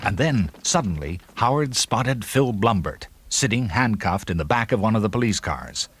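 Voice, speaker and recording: A man's voice: fairly low pitch, expressive, moderate pace, slightly rough, no room sound. A man giving dramatic scripted narration for a children's read-along story. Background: loud music and sound effects.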